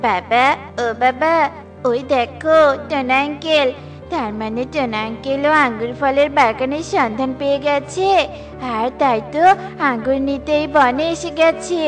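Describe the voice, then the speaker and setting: A woman voicing a young male crow child, whiny and pleading, speaking lower than her narration. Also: moderate pace, music in the background, lightly acted.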